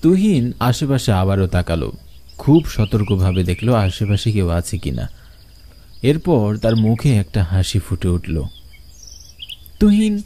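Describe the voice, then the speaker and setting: A man's voice: lowish voice, dark tone, slight background noise. A man narrating a scripted horror story.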